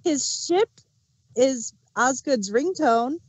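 A woman's voice: sits high, animated, takes it slowly, breathy edge, no echo. A woman speaking as a panelist in a casual review discussion.

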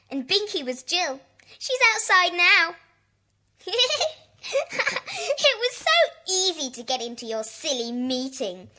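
A woman's voice: very high voice, bright, forceful tone, heavily acted. An actress playing a cheeky, teasing schoolgirl prankster with a mocking manner in a children's audio drama. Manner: mocking, giggly.

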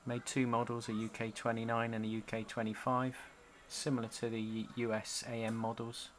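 A man giving a casual, halting walkthrough as a hobbyist showing off his gear. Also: mid-range voice, completely flat delivery. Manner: halting, pausing between short phrases. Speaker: CB radio hobbyist presenting a product demo. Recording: home camcorder with noticeable background noise.

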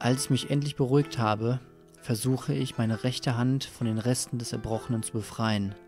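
A man's voice: mid-range voice, fairly even intonation, normal speed, a little mellow, lightly acted. An actor playing a tormented, disoriented man, narrating his nightmare dramatically in an audio drama. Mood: exhausted, brooding. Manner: brooding, dramatic. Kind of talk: scripted first-person audio drama narration. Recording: music under the voice.

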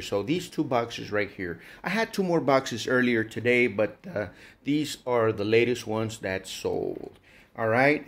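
A man hosting a casual reseller vlog, rambling in a folksy way and addressing viewers as guys. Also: medium pitch. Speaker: online reseller and channel host. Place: indoor workshop.

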